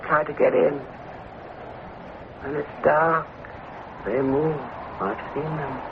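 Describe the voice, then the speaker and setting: A bedridden old woman speaks in 1940s film style, commanding yet fearful and motherly. Her delivery is slow, through a tinny vintage radio recording with clear noise. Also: low-pitched. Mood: fearful, urgent.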